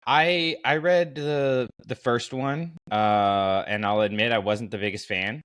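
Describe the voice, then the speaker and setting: Bright-voiced man, casual podcast host. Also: mid-range voice, steady tone, measured pace, clean tone, no room sound, studio quality.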